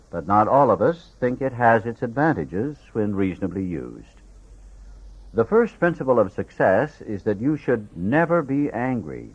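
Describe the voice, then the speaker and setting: Low-voiced man reading aloud, very animated pitch. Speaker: audiobook reader. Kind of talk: scripted read-aloud narration. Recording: dull, lo-fi audio.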